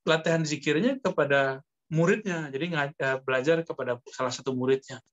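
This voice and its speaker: A man giving a conversational Islamic lecture as a preacher, explaining in a halting way with pauses. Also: a little high. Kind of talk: religious lecture teaching from a book, conversational. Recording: dull lo-fi lecture recording.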